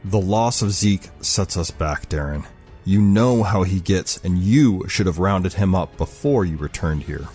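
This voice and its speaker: Man playing a deep, stern military leader. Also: animated, unhurried, a little mellow, slightly rough, full character voice. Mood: irritated, commanding. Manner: stern, formal speechmaking. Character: grim, commanding ex-military male leader, resolute and irritable. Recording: music behind the voice.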